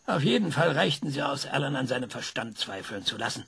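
A gravelly old professor. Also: male voice, mid-range voice, very animated, brisk, a bit bright, exaggerated voice. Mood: explaining. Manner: insistent, stammering when weak. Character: old male professor, proud and frail. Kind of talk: radio drama acting.

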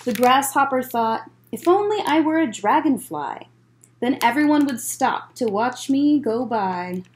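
A woman in her thirties reading a picture book aloud as a children's librarian, in measured phrases with chatty asides. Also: high voice, expressive, slight background noise. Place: library room, indoors.

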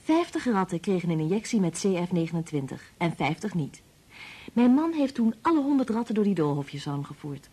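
Kindly institute wife, radio play, animated intonation, husky medium-pitched voice. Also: female voice, fairly quick. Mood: explanatory. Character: sensible, kindly married woman working at research institute. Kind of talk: Dutch radio drama acting.

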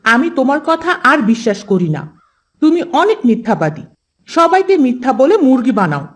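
A woman's voice: high-pitched, sing-song, normal speed, husky, heavily acted. A woman voicing a hot-tempered, indignant boy with a scolding, combative manner in a moral cartoon. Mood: scornful. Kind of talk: Islamic moral cartoon voice acting.

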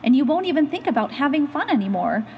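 Animated high-voiced woman reading a storybook. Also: fast, in a large hall, slight background noise. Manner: animated, instructive. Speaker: storytime reader and host. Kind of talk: expressive children's picture-book read-aloud.

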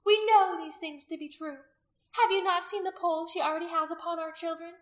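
A very high, loud, piercing female voice for a zealous pagan high priestess. She declaims in imperious, accusing phrases, full of venom, as in a dramatic audiobook. The sound is roomy. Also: steady tone, brisk. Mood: venomous, accusing. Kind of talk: dramatic audiobook character acting.

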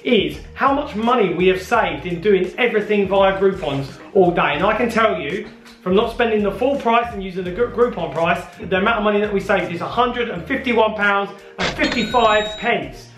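A man's voice: high-pitched, moderate pace, fairly bright tone. A man chatting casually to camera as a vlogger, with jokey, teasing banter. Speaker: family vlogger. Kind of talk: casual vlog chat. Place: busy restaurant, street and living room. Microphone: handheld vlog camera. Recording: echoey, with prominent music behind.

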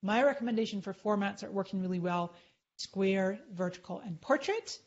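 A woman's voice: medium pitch, fairly quick, muffled recording. Upbeat woman giving a live screen tutorial. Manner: upbeat, chatty, talks while clicking through screens. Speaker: social media marketing trainer.

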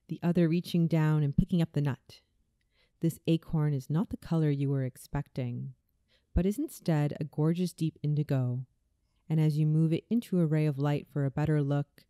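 A woman reading a scripted guided visualization in a soothing, deliberate way, as a meditation narrator. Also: low-pitched, mellow, no echo, clean studio sound. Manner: soothing, slow, deliberate delivery.

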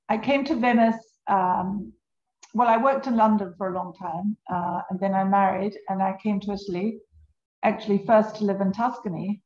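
A woman's voice: medium pitch, a little mellow. Echoey older woman from New Zealand. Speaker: interview guest. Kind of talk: unscripted personal storytelling in conversation. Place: home on a canal in Venice. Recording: echoey room, slightly dull sound.

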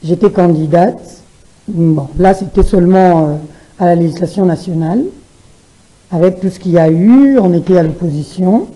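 A woman from Kinshasa with a Congolese French accent, answering studio interview questions as a political candidate, with a halting delivery. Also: lowish voice, mellow, poor audio. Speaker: parliamentary candidate and party spokesperson. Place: television studio. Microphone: gooseneck desk microphone.

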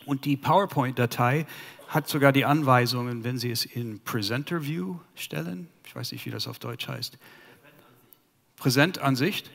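Man in his fifties, American accent, non-native German, halting, many fillers, headset mic, big hall with long reverb. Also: mid-range voice, vocal fry, fairly bright tone. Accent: American English. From United States. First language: English. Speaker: conference speaker. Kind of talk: presenting to a conference audience. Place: large conference hall.

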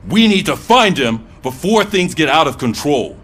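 An actor playing a firm middle-aged police sergeant in a game, briefing with authority. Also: male voice, a little high, very animated, moderate pace, a bit bright. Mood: businesslike, firm.

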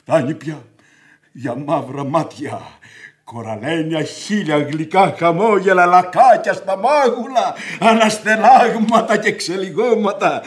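Casting: male actor for blustering middle-aged creditor, raised pitch, bellowing and sarcastic, scornful of women, stage drama. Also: natural voice. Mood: scornful, declaiming. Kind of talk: theatrical radio play acting.

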